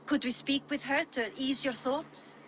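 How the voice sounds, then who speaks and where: A caring Viking woman speaks gently and with concern in a slightly higher voice. Faint ambient noise lies underneath. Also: steady tone, a little slow. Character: caring female Viking clan ally.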